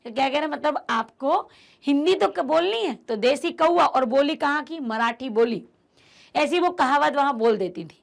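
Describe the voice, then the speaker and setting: A woman's voice: high-pitched, animated, normal speed, vocal fry. A woman teacher explaining a textbook chapter to her students, emphatic and repeating key phrases as she speaks to them directly. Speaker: Hindi teacher.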